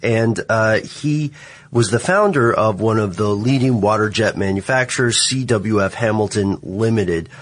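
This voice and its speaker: Low-voiced male podcast co-host. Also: unhurried. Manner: relaxed, conversational storytelling. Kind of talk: casual chat.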